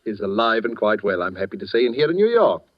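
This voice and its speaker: A man's voice: fairly low pitch, very animated, fast talker. A dull, vintage radio recording carries a suave middleman from a 1940s thriller. He is courtly and wordy, coaxing with purring questions and a schemer's politeness. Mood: smug, insistent.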